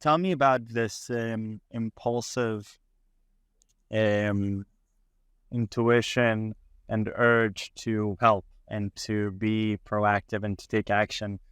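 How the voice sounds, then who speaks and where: A man's voice: fairly low pitch, flat delivery, takes it slowly, a bit bright, clear, resonant tone, dry recording, clean studio sound. A man hosting an interview, encouraging the guest and speaking with frequent fillers and repeated words. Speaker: podcast host.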